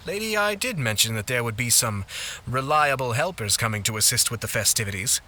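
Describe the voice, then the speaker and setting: A man's voice: mid-range voice, animated, brisk, bright, forceful tone, slight background noise. A man playing a courteous, dutiful young noble official in a video game, speaking formally and with composure.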